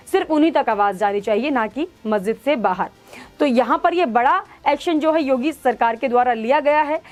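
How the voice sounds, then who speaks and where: A woman news presenter giving emphatic, opinionated commentary as she explains a story to viewers. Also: high-pitched. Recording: music under the voice. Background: background music.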